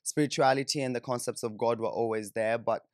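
A man's voice: mid-range voice, steady tone, fairly quick, no echo. Young Kenyan man, dry close mic. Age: young adult. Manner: informal, frequent "like" and "you know". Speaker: podcast guest. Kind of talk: conversational personal storytelling. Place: small indoor podcast studio, on a sofa. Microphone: close studio microphone on boom arm.

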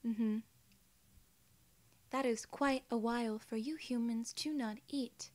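Soft-spoken young woman, ASMR alien role-play. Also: a little high, fairly even intonation. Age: 20s. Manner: measured, formal, drawn-out phrasing. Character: young, polite, friendly female alien gatekeeper. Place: small indoor room.

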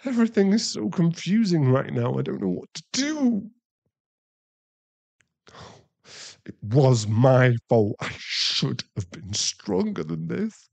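A man acting a remorseful, tender werewolf boyfriend in an intimate ASMR roleplay, halting and emotional, often breathy. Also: fairly high pitch, big pitch swings, a little mellow, heavily acted. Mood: distraught, guilty. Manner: halting, emotional, pleading, with sighs and breathy drops into whispers.

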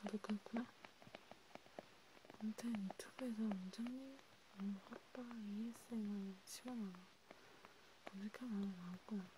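A woman chatting casually in a breathy whisper for ASMR, murmuring haltingly with pauses. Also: medium pitch, steady tone. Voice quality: breathy, mostly unvoiced whisper. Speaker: ASMR creator. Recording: noticeable background noise and ambience.

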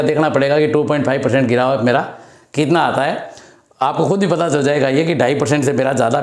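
Slightly hoarse man teaching in an echoey room. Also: a little high, darkish tone. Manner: explains step by step, repeats key numbers. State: slightly hoarse from a throat infection. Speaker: stock market educator. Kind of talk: blackboard lesson and screen walkthrough. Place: indoor room with a blackboard.

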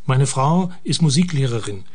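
An actor playing a brisk government minister in a radio drama, with a curt, dry, self-assured manner. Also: male voice, medium pitch, animated, natural voice. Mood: defensive. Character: brisk, dry male government minister, protective family man. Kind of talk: German radio drama dialogue.

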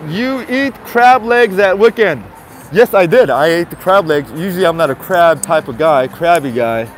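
Stuffy-nosed male vlogger, chatty and relaxed, speaking into a handheld phone on a busy night street with faint music. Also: on the high side. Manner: chatty, repeats greetings, talks to viewers. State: stuffy nose. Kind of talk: casual live-stream walking commentary. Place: city sidewalk outdoors at night. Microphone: handheld phone camera.

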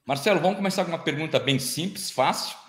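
Apresentador de podcast, cinquentão, tom coloquial, som de sala com eco na chamada. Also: male voice, on the high side, quick pace, a bit bright. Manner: informal, tags questions with "né" and "hein". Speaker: podcast host. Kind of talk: interview questions. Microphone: video call. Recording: echoey room on a video call.

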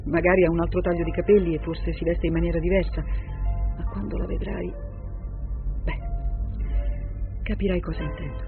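A woman's voice: fairly low pitch, flat delivery, moderate pace, pauses often, close to an everyday voice. Sly female android played by a woman, thin radio sound. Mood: bitter, tense. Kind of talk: Italian radio drama acting. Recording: tinny narrow-band radio broadcast, music behind.

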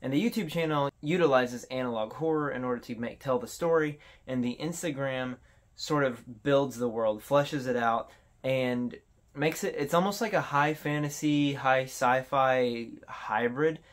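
A man presents a countdown as its host, speaking at a middling pitch. His commentary is casual and informal, with a self-deprecating streak. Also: measured pace. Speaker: host of a horror video countdown.

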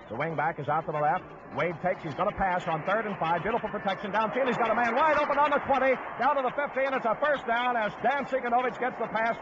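A man calling live play-by-play football commentary on a 1950s radio broadcast. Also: fairly high pitch. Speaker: play-by-play sports announcer. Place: stadium broadcast position. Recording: noisy, muffled old 1950s radio broadcast. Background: outdoor stadium crowd noise.

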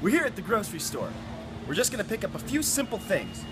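A man's voice: high voice, huge pitch swings, fast talker, music in the background. A young man with an American accent casually explaining a recipe as a cooking show host, playful and slangy. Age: young adult. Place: home kitchen and grocery store, indoors.

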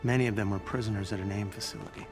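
A man playing a reserved older scientist who calmly leads a resistance in a video game, in a measured, dry manner. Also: fairly low pitch, over music, natural voice. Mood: calm, welcoming. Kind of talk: video game cutscene acting.

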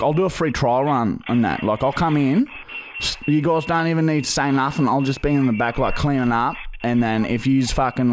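A man's voice: mid-range voice, slight background noise, close to an everyday voice. A young Australian man playing a pushy, dim, matey bogan begging for work on a prank call, rambling and swearing heavily in slang. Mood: eager, pleading. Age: young adult. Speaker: prank caller and podcast host. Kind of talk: improvised prank phone call. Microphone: boom-arm studio microphone, phone held to face.

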